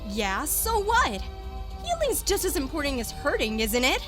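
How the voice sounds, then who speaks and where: A woman's voice: high-pitched, huge pitch swings, moderate pace, music in the background. A woman voicing a brash, eager young battle priestess in a video game fan dub. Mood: proud, cheeky.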